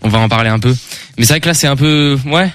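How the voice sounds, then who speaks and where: A young man hosts a student radio show in a studio, talking in a relaxed, chatty way. His voice sits at a middle pitch. The sound is very dry and close, with faint music underneath. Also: fast talker, dry recording. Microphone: studio mic.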